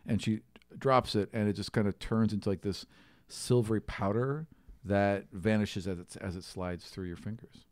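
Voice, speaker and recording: A man running a tabletop game as game master, narrating casually in halting, conversational descriptions. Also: on the low side, no echo.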